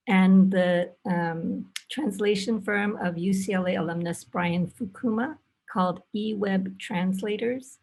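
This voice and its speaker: A woman presenting in an online webinar as a faculty member, speaking in a measured way with pauses mid-sentence. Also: medium pitch, darkish tone, low-quality recording. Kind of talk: webinar presentation, introducing and thanking. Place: online video call.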